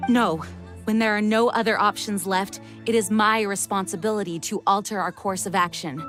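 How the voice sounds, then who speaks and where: A woman's voice: medium pitch, steady tone, moderate pace, a bit bright, natural voice. A woman playing a noble, dutiful knight commander in a video game, formal and earnest. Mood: solemn, resolute. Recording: music under the voice.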